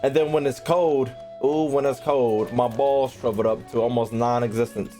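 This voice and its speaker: A man's voice: mid-range voice, steady tone, normal speed, warm tone. A man giving casual, blunt gaming commentary as a streamer, full of slang and swearing, with game music behind. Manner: blunt, irreverent, profane.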